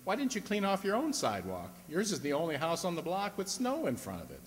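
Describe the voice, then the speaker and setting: A man's voice: fairly high pitch, wildly swooping pitch, a little fast. An actor playing a gushing, chummy salesman neighbor in an old-time radio comedy. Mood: effusive. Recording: very reverberant hall stage recording.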